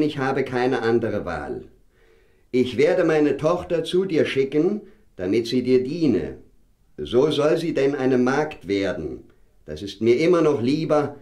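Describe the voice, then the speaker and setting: A man's voice: mid-range voice, darkish tone. An actor playing a solemn, worried king and father in a fairy-tale radio play, speaking formally and declaiming.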